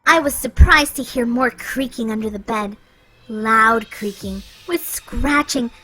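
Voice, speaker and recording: A woman reading a children's picture book aloud, playful and expressive. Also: a little high, measured pace, husky, faint music behind, poor audio. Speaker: children's story reader. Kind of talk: read-aloud storytelling.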